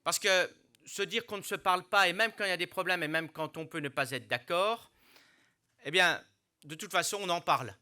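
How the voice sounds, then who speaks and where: A middle-aged man delivers a formal address with a very bright, forceful edge to his voice. His pitch sits a touch high for a man. He speaks earnestly and deliberately, often restarting a phrase before pressing on to the key word. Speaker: mayor giving a New Year speech. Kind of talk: formal public speech with hesitations. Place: hospital hall.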